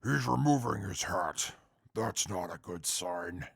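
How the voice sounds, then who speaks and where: An actor in an audio drama playing a dour, suspicious wasteland companion with a gravelly voice and a blunt, deadpan manner. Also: male voice, sits low, slow pace, no echo, studio quality, exaggerated voice.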